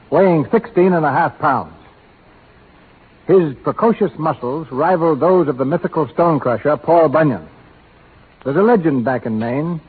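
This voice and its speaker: Male vintage radio host: formal, florid showman, higher-pitched voice, tinny period recording. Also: husky. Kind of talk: 1930s scripted radio presentation.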